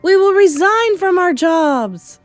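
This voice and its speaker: A woman voicing excitable, fawning witches who cry out and chant fanatically in a children's audiobook. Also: slow pace, no echo, faint music behind, full character voice. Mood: fawning excitement. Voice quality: shrill. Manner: chanting, crying out in fervour.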